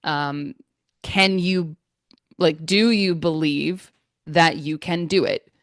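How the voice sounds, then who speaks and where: American woman, English trainer; low, slow, smooth voice, dry close sound, measured and reflective. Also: no room sound. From United States. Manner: thoughtful, measured, with frequent fillers. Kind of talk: conversational interview answers. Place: Florida.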